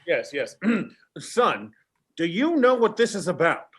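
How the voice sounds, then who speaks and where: A man's voice: high voice, big pitch swings, takes it slowly, fairly bright tone, heavily acted. An actor playing a blustery, grumbling old New England father in an old-time radio play. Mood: resigned, proud. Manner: exclamatory, gruff grumbling.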